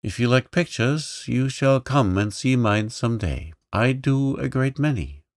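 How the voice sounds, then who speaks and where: A man's voice: medium pitch, expressive, a little slow, dry recording, studio quality, voice pushed up. A man reading aloud, voicing an impetuous, bossy little girl who talks frankly and eagerly.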